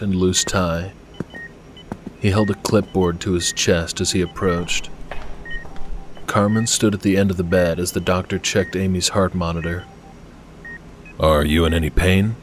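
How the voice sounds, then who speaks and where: Deep, slow-paced male fiction narrator. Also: little pitch movement, slight background noise. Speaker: audiobook narrator.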